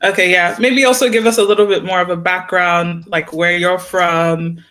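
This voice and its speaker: A man's voice: sits high, fairly even intonation, moderate pace, bright, forceful tone. A man casually interviewing a guest on an online call, phrasing questions tentatively with halts and rewordings. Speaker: webinar host, interviewer.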